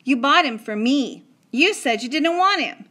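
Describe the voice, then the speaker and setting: A woman's voice: high-pitched, lively intonation, measured pace, a bit bright, pitched above the performer's own voice. A woman voicing an earnest young boy who scolds a friend. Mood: firm.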